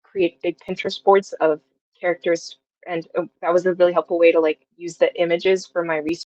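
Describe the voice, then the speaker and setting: A woman's voice: on the low side, level tone, a little fast, darkish tone, dry recording, dull audio. A woman in her twenties chatting casually as an interview guest, thinking aloud as she talks. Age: 20s. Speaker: guest, audio drama writer.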